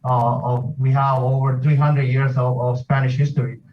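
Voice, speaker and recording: A military man from South America, explaining his heritage group at a steady pace, pausing often with "uh". He sounds distant and muffled, as if on a video call picked up from across the room. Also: medium pitch. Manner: steady, hesitant with many uhs. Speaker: association president, military sergeant. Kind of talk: remote video interview.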